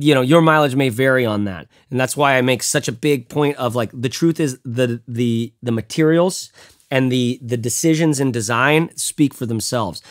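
Casual male vlogger, 30s, slightly high, dry close sound; animated, rambling, swears freely. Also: no echo. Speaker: bag reviewer. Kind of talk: casual product review vlog. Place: home studio room, indoors.

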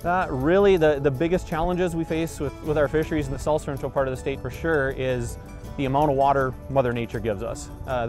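A man in his forties, a fisheries biologist, answering interview questions outdoors by a river in an earnest, explanatory way, with frequent fillers and restarts. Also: medium pitch.

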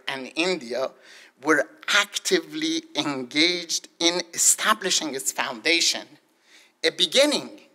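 An older man reads a scholarly talk at a podium mic in a university lecture hall. His voice is bright and fairly high for a man. He moves through the text slowly, pausing between phrases. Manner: slow, deliberate reading with measured pauses. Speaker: academic speaker. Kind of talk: reading a prepared scholarly talk. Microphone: podium microphone.

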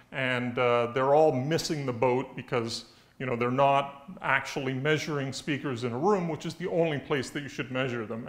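A middle-aged man giving an explanatory talk to camera in an emphatic, conversational manner, as a company presenter. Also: medium pitch. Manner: emphatic, conversational, uses rhetorical questions. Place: indoor dark studio room.

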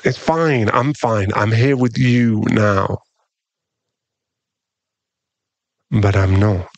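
Man playing dangerous mafia boyfriend, low gravelly voice, possessive yet tender, intimate ASMR roleplay, teasing. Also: highs cut off. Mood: reassuring, dismissive. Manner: slow, intimate, teasing, with sighs and lingering pauses.

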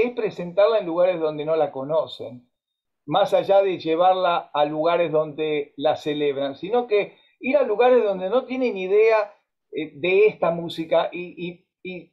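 Argentine guy, 50s, high voice, chatty storyteller on a muffled video call. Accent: Argentine Spanish. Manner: animated, storytelling, frequent fillers and restarts. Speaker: musician and host. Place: home music room. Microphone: webcam on a video call. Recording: dull lo-fi video-call audio.

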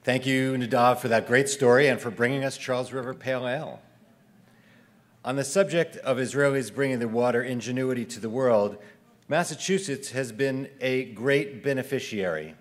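A middle-aged man hosts an event from a podium microphone in an indoor hall. He reads prepared remarks in a steady, even delivery. His voice has an ordinary mid pitch. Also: normal speed. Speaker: event host.

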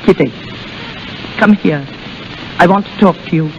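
A woman's voice: lowish voice, measured pace. A woman playing an affectionate, plain-spoken old grandmother in a 1930s radio drama. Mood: frank, knowing. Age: older. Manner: fond, knowing, plain-spoken. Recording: dull, noisy 1930s radio recording.

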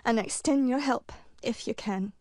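An actress playing a dignified, stern shepherd leader in an adventure game, with formal, solemn, commanding speech. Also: female voice, fairly high pitch, normal speed, darkish tone, no room sound, studio quality, close to an everyday voice. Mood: grave, urgent. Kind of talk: 1990s adventure game voice acting.

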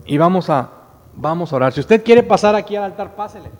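High-voiced Mexican preacher in reverberant church. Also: male voice, lively intonation, brisk, a little mellow, slight background noise. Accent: Mexican Spanish. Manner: earnest storytelling, frequent repetitions and restarts, rising emphatic declarations. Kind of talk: sermon. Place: church sanctuary with stage, indoors. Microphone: standing mic at pulpit.

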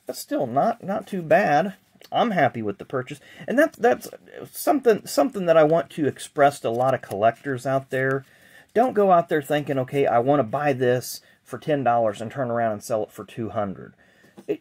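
A man's voice: medium pitch, a little mellow. Chatty man sorting old sports cards. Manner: chatty, rambling, self-deprecating asides. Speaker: sports card collector vlogger. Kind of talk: casual unboxing chat. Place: indoor card room at a table. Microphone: camera mic, hands in frame.

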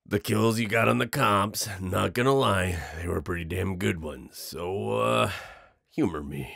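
A man playing a cocky, sarcastic werewolf outlaw in an audio roleplay, mocking and flippant with gravelly, hesitant asides. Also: sits low, measured pace, fairly bright tone, no echo, clean studio sound, heavily acted. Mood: nervous, sarcastic.

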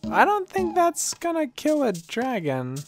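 A woman's voice: sits high, huge pitch swings, a little slow, soft background music, voice pushed up, full character voice. Woman voicing a blunt, sceptical little kobold. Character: small female kobold, guarded, sceptical, foul-mouthed schemer. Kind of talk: fantasy audio comedy acting.

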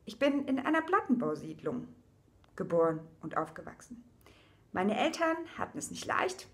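A young woman from eastern Germany telling her personal story to camera at home, casual and searching for words, with many fillers, and quieter on the painful parts. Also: a little high, very animated, moderate pace. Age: young adult. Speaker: vlogger. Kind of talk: personal video diary. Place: indoors, small room with a painting behind her.

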